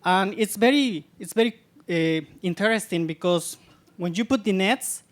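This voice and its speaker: A man from Guatemala with a Guatemalan accent giving a public science talk, halting often and repeating words as he searches for them. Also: high voice. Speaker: guest lecturer, scientist.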